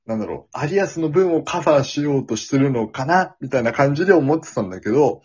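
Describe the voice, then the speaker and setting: Male podcast co-host, animated pitch, slightly raspy, casual agreeable chat, muffled lo-fi audio. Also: quick pace.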